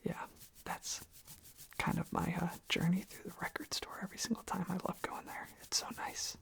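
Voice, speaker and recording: Someone rambling softly in a breathy, husky ASMR whisper close to the microphone, casually repeating little phrases. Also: medium pitch, fairly even intonation, normal speed. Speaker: ASMR video creator.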